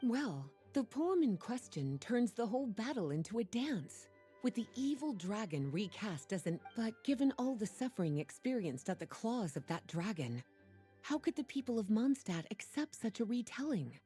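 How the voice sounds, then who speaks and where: A woman voicing a poised, playful and knowing sorceress-librarian in a video game, with soft, breathy, unhurried, teasing delivery. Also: on the high side, sing-song, faint music behind. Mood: knowing, explanatory. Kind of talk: video game character dialogue.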